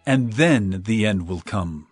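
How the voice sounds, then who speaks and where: An actor playing a solemn, authoritative holy teacher prophesying in an earnest, declamatory way in a dramatized audio Bible. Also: male voice, lowish voice, expressive, takes it slowly, dry recording, soft background music. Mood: solemn warning.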